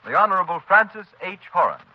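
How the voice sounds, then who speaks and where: A man's voice: sits high, very animated, quick pace, dry recording. An American man announcing in a formal, polished, emphatic 1930s radio style, reading from a script. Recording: dull, noisy 1930s radio recording.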